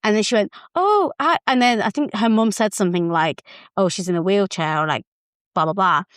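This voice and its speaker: A woman chatting casually as a podcast co-host, telling things in an animated, conversational way. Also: mid-range voice, fairly quick, smooth, no echo, studio quality.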